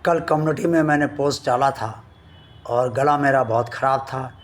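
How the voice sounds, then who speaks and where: A man hosting a devotional channel, speaking to his viewers slowly and earnestly, his voice still weak from a sore throat. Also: mid-range voice. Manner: slow, earnest and devout, addressing viewers formally. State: recovering from a sore throat, voice not at full strength.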